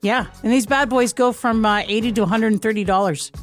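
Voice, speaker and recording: Higher-pitched woman on morning radio. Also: a little fast, faint music behind. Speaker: radio morning show co-host. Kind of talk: casual radio banter. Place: radio studio.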